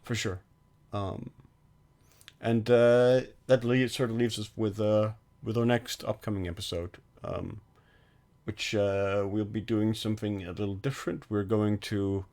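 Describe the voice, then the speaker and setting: A man's voice: lowish voice, steady tone, unhurried, a little mellow. A man co-hosting a film podcast, talking casually and hesitantly, with frequent ums and restarts.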